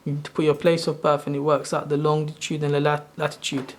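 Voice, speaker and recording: A soft-spoken man in his thirties, originally from Sri Lanka, casually walking through his own screen in a loose, rambling way. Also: on the high side, monotone, quick pace, slight background noise. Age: 30s. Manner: rambling, loose and self-correcting. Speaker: channel host. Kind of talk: casual screen-share walkthrough.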